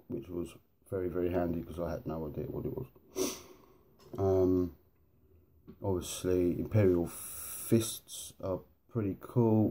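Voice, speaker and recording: A man with a very low, dark voice hosts a hobby vlog. He rambles along slowly in informal, matey slang. His nose sounds sniffly and a little blocked. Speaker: host of a hobby video series.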